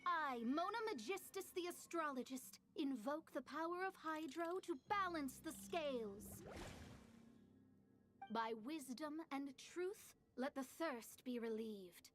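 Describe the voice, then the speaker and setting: A woman's voice: high-pitched, lively intonation, a little slow, a bit bright, soft background music. A woman playing a proud, testy young astrologer mage in a video game, haughty and theatrical in her formal way of talking. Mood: solemn incanting.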